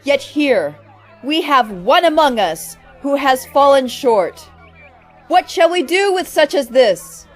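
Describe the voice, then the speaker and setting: A woman's voice: high voice, lively intonation, takes it slowly, soft background music. A woman acting in an audio drama as a stern, pitiless zealot leader, solemn and declamatory like a ceremony. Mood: solemn, commanding.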